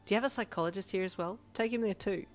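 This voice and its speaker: A woman's voice: mid-range voice, big pitch swings, faint music behind, voice pushed up, lightly acted. Woman voicing a feisty, flustered young heroine. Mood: teasing, deadpan. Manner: blunt, exasperated, quick to shout.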